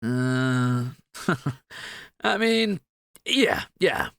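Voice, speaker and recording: A young man playing a cocky, excitable, jittery thief in a fantasy roleplay audio drama, joking and quick to exclaim. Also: mid-range voice, smooth, no room sound, studio quality, full character voice. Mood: wary, reluctant.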